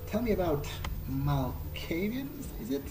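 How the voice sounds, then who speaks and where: A man voicing a polite, curious young vampire fledgling in video game roleplay, speaking in a hesitant, halting way. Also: on the high side, big pitch swings, rough recording. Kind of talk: in-character improvised game roleplay. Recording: game music behind the voice.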